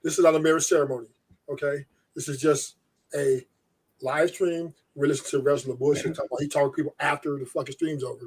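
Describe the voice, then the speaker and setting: A man's voice: on the high side, fairly even intonation, fast, a little mellow, rough recording. A man on a casual live stream, blunt and rambling, who swears freely.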